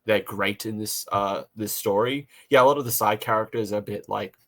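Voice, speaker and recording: A man's voice: fairly low pitch, fairly quick, fairly bright tone. A man co-hosting a casual review podcast, talking in a rambling way, repeating phrases and voicing his own thoughts.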